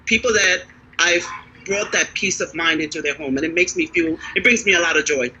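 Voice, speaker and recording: Upbeat persuasive woman, bright forceful voice. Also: lowish voice, brisk, breathy edge, poor audio. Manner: upbeat and persuasive, peppered with "right?". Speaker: financial advisor, guest. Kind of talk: enthusiastic casual pitch on a live video chat.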